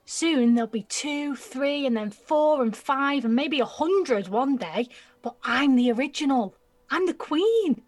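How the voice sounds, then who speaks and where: A young woman acting an excitable, mouthy and insecure nineteen-year-old girl in an audio drama, with slangy, blurting speech. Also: high-pitched, unhurried. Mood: proud, needy.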